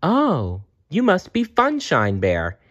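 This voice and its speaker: A man's voice: a little high, huge pitch swings, slow, fairly bright tone, no echo, pitched above the performer's own voice, exaggerated voice. A man voicing a timid little girl from a storybook, hesitant and childlike, on a dull recording. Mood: excited. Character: timid, worried little girl facing hospital.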